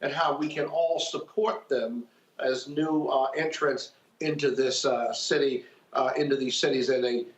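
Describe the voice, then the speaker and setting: A man of medium pitch joins a discussion as a panel guest. He speaks unscripted, and his remote audio is noticeably roomy and echoing. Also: steady tone, unhurried.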